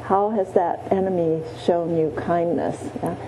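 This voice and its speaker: A woman teaching a meditation class in a gentle, halting way, often pausing and adding "yeah.". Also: fairly low pitch, fairly even intonation, background noise. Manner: gentle, many pauses and restarts, frequent "yeah". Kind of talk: guided Buddhist meditation teaching. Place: meditation room.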